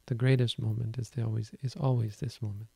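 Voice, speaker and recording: A quiet-voiced man gives a calm, reflective Buddhist dharma talk. His voice is very dark and gravelly, with only a narrow rise and fall in pitch. The sound is dry and close. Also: fast, dry recording. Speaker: Buddhist teacher.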